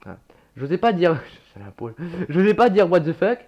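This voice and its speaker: Chatty young man, rather high voice. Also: very animated, moderate pace, darkish tone, muffled recording. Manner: chatty, self-mocking, swears often, laughs at himself. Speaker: YouTuber reacting to his old videos. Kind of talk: casual reaction commentary.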